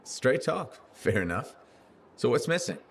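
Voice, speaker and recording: An actor playing a confident young freelance pilot in a video game, casual and straight-talking with a dry wit. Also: male voice, mid-range voice, sing-song, a little slow, a little hiss or hum. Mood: direct. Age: young adult. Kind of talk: scripted video game dialogue.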